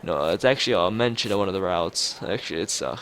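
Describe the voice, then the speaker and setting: A man reading a visual novel aloud as a let's player, casually, with halting, rambling asides. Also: low-pitched, animated, fairly bright tone. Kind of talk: casual game narration with side commentary. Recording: music behind the voice. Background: game music.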